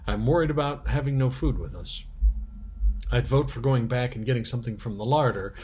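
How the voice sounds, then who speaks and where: A man voicing a sensible, decisive eldest boy in a storybook, measured and matter-of-fact. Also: medium pitch, darkish tone, a little hiss or hum, close to an everyday voice. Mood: worried resolve.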